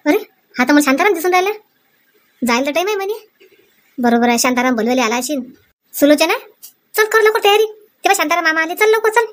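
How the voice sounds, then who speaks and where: A woman's voice: very high voice, expressive, fast talker, long pauses, fairly bright tone. A woman voicing a bustling, chatty village mother-in-law in a comedy cartoon, with a Varhadi Marathi accent. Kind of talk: exaggerated cartoon comedy dialogue.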